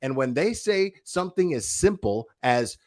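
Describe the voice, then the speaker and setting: Guy doing a sweary livestream rant, blunt and confrontational, medium pitch, really dry sound no room echo. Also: sing-song, normal speed, a little mellow. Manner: emphatic repetition and rhetorical questions. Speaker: online commentator, livestreamer.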